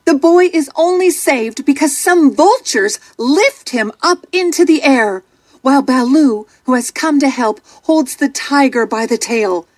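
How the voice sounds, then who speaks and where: A woman narrating a children's storybook from a script in an expressive, dramatic storytelling manner. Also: sits high, measured pace, no echo.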